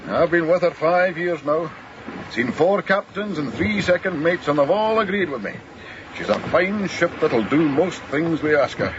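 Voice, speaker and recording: Ship's mate, male actor: chatty, proud, very animated delivery, radio drama, muffled sound. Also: on the high side, normal speed, natural voice. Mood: proud, effusive. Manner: effusive, opinionated, repeats himself. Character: garrulous ship's mate, proud of his vessel. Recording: muffled, noisy ship deck ambience.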